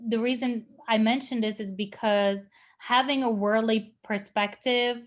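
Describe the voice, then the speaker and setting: A woman's voice: fairly high pitch, fairly even intonation, a little slow. Woman speaker. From Lebanon. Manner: thoughtful, searches for words. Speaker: guest speaker. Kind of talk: virtual panel Q&A answer. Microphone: video call.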